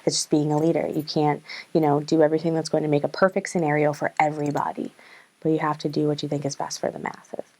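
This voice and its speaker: A woman's voice: low-pitched, flat delivery, fast, darkish tone. A woman in charge of others, talking reflectively in an interview. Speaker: leader.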